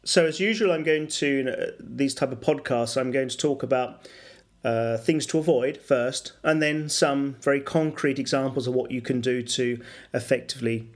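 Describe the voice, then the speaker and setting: A middle-aged man hosting a solo business podcast, explaining at a measured pace with fillers and self-corrections. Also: medium pitch. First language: English. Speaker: podcast host and business English coach.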